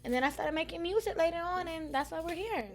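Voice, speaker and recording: A young woman from Puerto Rico, chatting casually as a guest, relaxed and giggly with lots of fillers. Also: extremely high pitch, fast talker, noisy background. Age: young adult. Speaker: guest musician. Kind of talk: casual interview chat.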